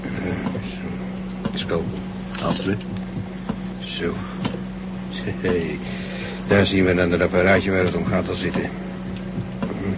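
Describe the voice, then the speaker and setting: A man acting in a Dutch science-fiction radio drama as a calm surgeon, muttering in a businesslike way as he works. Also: low voice, takes it slowly, pauses often. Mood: calm, focused. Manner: businesslike, muttering while working. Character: calm, brisk male surgeon at work. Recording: very noisy old radio drama recording.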